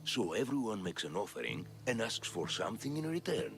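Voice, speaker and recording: An actor playing a poor, despondent, god-fearing man in a video game, speaking haltingly and plaintively. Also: lowish voice, huge pitch swings, creaky, over music, full character voice. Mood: weary, fearful. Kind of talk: video game cutscene acting.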